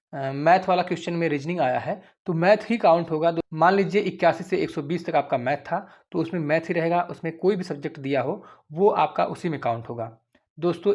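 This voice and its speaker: A man's voice: on the high side, highs cut off. A young man giving an informal, repetitive explainer addressed straight to his viewers. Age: young adult. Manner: repetitive, often addressing listeners as friends. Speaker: YouTube host giving exam updates.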